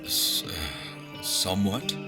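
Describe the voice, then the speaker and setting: An actor playing a troubled, desperate general in an audio drama, speaking in a halting, grave, intense manner. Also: male voice, low voice, expressive. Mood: hesitant, guarded. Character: troubled, desperate newly-appointed general haunted by dreams. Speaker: actor narrating in first person and playing the lead. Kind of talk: dramatic audio fiction acting. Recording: loud music under the voice.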